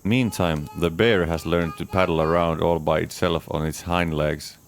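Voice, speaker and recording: A man giving a measured, deadpan first-person reading of a comic diary, speaking as its writer. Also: low-pitched. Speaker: audiobook reader. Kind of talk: dramatic reading of a diary as Adam.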